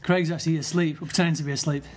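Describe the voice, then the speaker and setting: A relaxed male host from northern England who chats easily and asks questions. His voice sits a little high for a man. A faint hiss runs under it. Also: rapid-fire. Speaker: podcast host. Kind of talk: casual chat.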